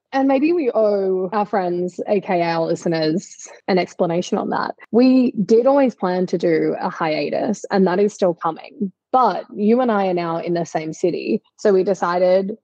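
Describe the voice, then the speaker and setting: A woman's voice: medium pitch, normal speed, hardly any pauses, warm tone, dry recording. A woman of about thirty co-hosting a podcast, chatting casually and candidly in a long-winded storytelling way, recorded on a makeshift setup. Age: 30s. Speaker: podcast co-host. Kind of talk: casual conversational podcast chat. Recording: slightly dull makeshift mobile setup.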